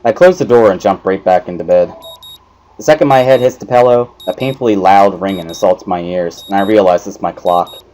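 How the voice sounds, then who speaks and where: A man's voice: low voice, a little fast, dark tone, a little hiss or hum, low-quality recording. A man giving a dramatic first-person narration of a visual novel story. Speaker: narrator of a let's play dramatic reading.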